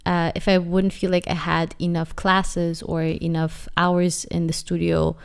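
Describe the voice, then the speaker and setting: Young woman, low-ish voice, smooth tone, casual interview, pauses and fillers. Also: level tone. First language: not English. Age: 20s. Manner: reflective, many fillers and restarts. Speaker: dancer and guest.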